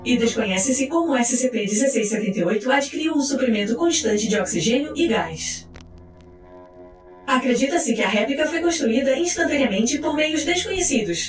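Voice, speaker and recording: An echoey, high-pitched woman's voice narrates over soft music. She reads a formal script in a measured, clinical way, like documentary narration.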